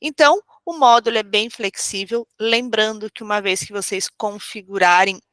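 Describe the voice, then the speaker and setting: Female webinar trainer, Brazilian accent, slightly under the weather with a cold. Bright, crisp-edged delivery, friendly explainer, dry recording. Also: medium pitch, normal speed, dry recording. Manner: friendly, informal, explanatory with frequent check-ins. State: has a cold. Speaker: webinar host and software trainer. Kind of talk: live webinar presentation.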